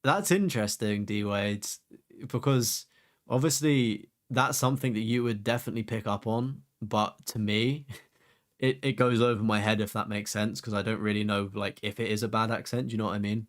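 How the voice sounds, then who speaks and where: A man's voice: on the low side, fairly even intonation, moderate pace. A young man chatting casually on a game live stream, rambling with fillers and casual swearing.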